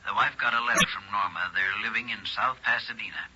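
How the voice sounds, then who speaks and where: A man's voice: lowish voice, fast talker, pushed and bright, breathy edge, full character voice. An actor playing a polite, earnest middle-aged father calling the police. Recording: muffled vintage radio, faint noise.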